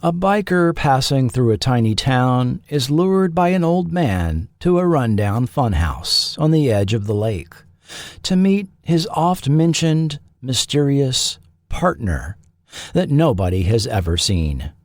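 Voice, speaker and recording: A man reading scripted documentary narration as a host, in a measured, deliberate storytelling manner. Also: mid-range voice, sing-song, a little mellow, no echo, clean studio sound.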